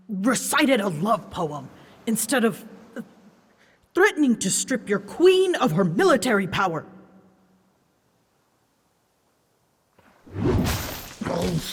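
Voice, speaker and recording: A woman voicing an ancient, huge female dragon in a scripted roleplay drama, haughty and easily flustered, scolding her rider in formal, measured speech with hidden fondness. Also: on the low side, heavily acted. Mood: stern, teasing. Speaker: voice actor. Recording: long reverb, clear background noise.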